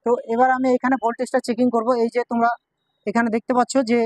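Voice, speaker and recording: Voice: mid-range voice, completely flat delivery, racing, dry recording. A person giving a step-by-step hands-on repair demonstration, repeatedly pointing things out to viewers. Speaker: electronics repair tutorial presenter.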